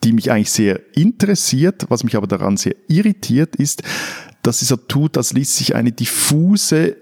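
A man from Switzerland co-hosting a casual discussion, wry and joking but deliberate when he makes his point. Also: mid-range voice, very animated, creaky, darkish tone. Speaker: podcast co-host.

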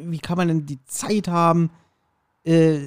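A man's voice: fairly high pitch, little pitch movement, a little slow, clear, resonant tone. A man of forty hosting a podcast in casual, joking, rambling German-style banter with lots of informal asides. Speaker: podcast host.

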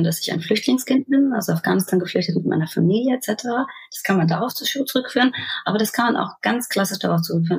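A woman's voice: lowish voice, husky, poor audio. A woman giving earnest, reflective interview answers, correcting herself mid-sentence. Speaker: course graduate.

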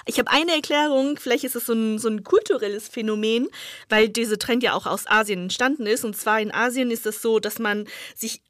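A woman's voice: on the high side, a bit bright, dry recording. Chatty woman explaining, dry studio voice. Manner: chatty, with frequent filler pauses. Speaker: guest expert. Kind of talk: casual explanatory chat.

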